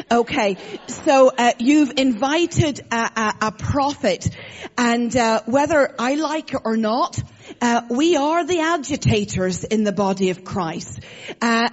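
A woman's voice: a little high, lo-fi sound. A woman with an Irish accent, a guest preacher giving a conversational sermon, chatty and joking with frequent fillers. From Ireland.

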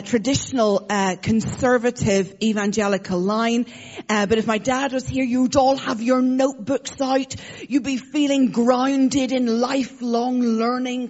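A woman's voice: on the high side, fairly even intonation, unhurried, a little mellow, highs cut off. A woman with an Irish accent, a guest preacher giving a conversational sermon, chatty and joking with frequent fillers. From Ireland.

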